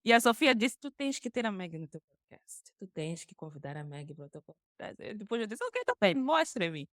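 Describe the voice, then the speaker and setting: A woman hosting a casual podcast interview, telling things in an animated way with playful quoting. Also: mid-range voice, moderate pace, dry recording, studio quality. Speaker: podcast host.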